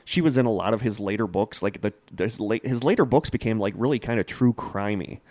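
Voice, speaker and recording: A man's voice: fairly low pitch, brisk, darkish tone, no room sound. A man co-hosting a podcast in an informal, chatty way, speaking casually into a close microphone. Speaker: podcast co-host. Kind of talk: casual chat between co-hosts. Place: small home podcast studio.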